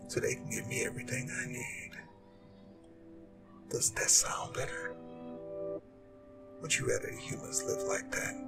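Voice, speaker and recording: A man playing an immortal Demon King in an ASMR audio roleplay, smug, scheming and silkily menacing, speaking deliberately in a breathy, gravelly half-whisper. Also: mid-range voice. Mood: calculating, persuasive. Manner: smug, taunting, deliberate, persuasive villain speech.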